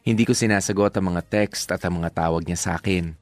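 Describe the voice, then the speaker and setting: A man's voice: deep voice, steady tone, a little fast, soft background music, lightly acted. A man with a Filipino accent acting a jealous, sulking young man narrating his own story in a drama. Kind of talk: first-person drama narration.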